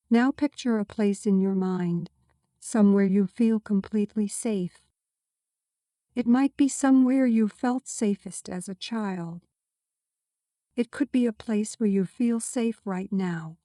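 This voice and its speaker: A woman reading a scripted guided meditation as a guide, giving soothing, measured instructions. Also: mid-range voice, mellow, no room sound, clean studio sound.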